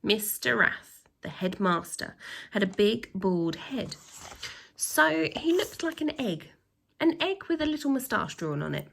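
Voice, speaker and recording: A woman reading a children's story aloud with dramatic emphasis, pausing to put questions to her young listeners. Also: a little high, big pitch swings, creaky. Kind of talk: expressive storytime narration with asides to children.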